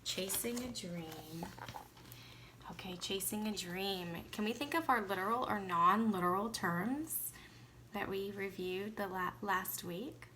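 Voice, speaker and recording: A woman's voice: medium pitch, unhurried, sharp tone, slight background noise. A woman teacher reading a children's storybook aloud expressively, with teaching asides and questions to the listener.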